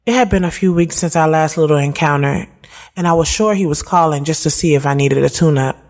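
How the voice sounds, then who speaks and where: A woman in her thirties playing a sharp-tongued, moody woman who tells her own story in a candid, slangy audio drama. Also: low-pitched, a little fast. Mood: candid narrating. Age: 30s. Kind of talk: first-person audio drama narration and dialogue. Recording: music bed behind the voice.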